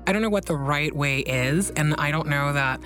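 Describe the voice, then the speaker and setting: A woman's voice: deep voice, moderate pace. A woman from Guinea and Belgium talking candidly and informally as an interview guest, repeating phrases for emphasis. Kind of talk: candid casual conversation.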